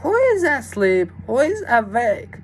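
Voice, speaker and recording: Woman playing a sly, drawling storybook monster, pitched up. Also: big pitch swings, a little slow, faint music behind. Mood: sly, impatient. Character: big scary child-eating thief monster, sly and coaxing.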